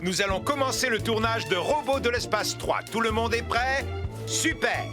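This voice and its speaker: Busy cartoon film director over music. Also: male voice, very high voice, normal speed. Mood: brisk, commanding. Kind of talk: French cartoon dub. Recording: music behind the voice.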